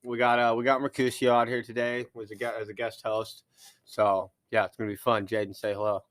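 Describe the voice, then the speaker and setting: A man's voice: mid-range voice, level tone, a little fast, fairly bright tone. Young male podcast host, casual and rambling, studio chat. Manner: laid-back, rambling with fillers. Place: recording studio.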